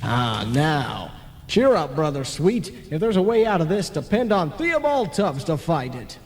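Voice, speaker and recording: An actor playing a brash, wily ghost lawyer, theatrical and self-assured, in an old-style radio play. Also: male voice, a little high, sing-song, unhurried, a little hiss or hum. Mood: confident, cajoling. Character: brash, wily male ghost lawyer, newly dead, cocksure. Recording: long hall reverb.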